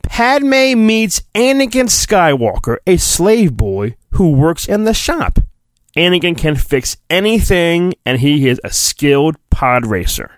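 A man's voice: fairly high pitch, big pitch swings, no room sound. A man hosting a solo podcast, giving a mock-dramatic reading of a children's storybook with theatrical emphasis. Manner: theatrical, drawn-out emphasis, flippant asides.

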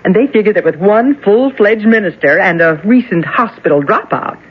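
A woman's voice: medium pitch, lively intonation, normal speed, breathy edge. An innocent cheerful young woman. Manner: bright, giggly, innocent. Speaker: actor in radio drama. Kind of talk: 1970s radio drama acting. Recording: dull, muffled old radio broadcast.

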